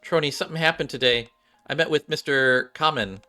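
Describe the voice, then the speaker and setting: A man voicing a shy, earnest young android gamer girl from a game story, halting and heartfelt. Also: mid-range voice, sharp tone, soft background music, voice pushed up, lightly acted. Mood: awkward, rehearsing. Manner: halting, heartfelt, repeats and restarts.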